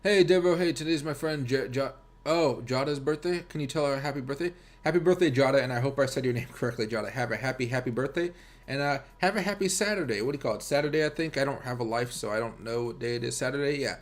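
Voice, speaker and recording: A man's voice: mid-range voice, fast talker. An American man hosting a livestream with casual, rambling chat and commentary, speaking informally and directly to his viewers. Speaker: livestream host and crypto trader.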